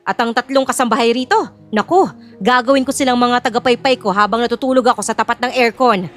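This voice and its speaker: A haughty, money-hungry mother from an audio drama, acted by a woman. Her voice is high and theatrical, dripping with scorn. Soft music plays behind her. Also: fairly even intonation, fast, natural voice. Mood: smug scheming. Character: greedy, haughty scheming middle-aged mother. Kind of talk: audio drama character acting.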